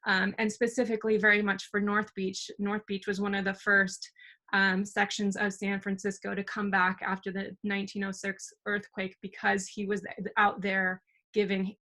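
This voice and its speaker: A woman's voice: medium pitch, completely flat delivery, normal speed, sharp tone. A young woman, a documentary filmmaker, telling a historical story at an online community meeting over a video call, earnest and full of fillers.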